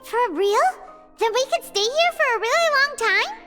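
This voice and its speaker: A woman voicing a small, childlike, chatty fairy companion in a video game, squeaky and bubbly, often calling herself by name. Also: animated, moderate pace, music in the background. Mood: curious. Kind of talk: animated video game voice acting.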